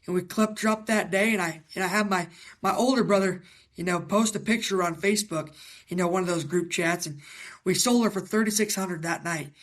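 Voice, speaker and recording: A man in his twenties from Iowa with a raspy voice, answering interview questions in a halting, casual way with many fillers. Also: high voice, a bit bright. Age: 20s. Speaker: guest, young cattle breeder.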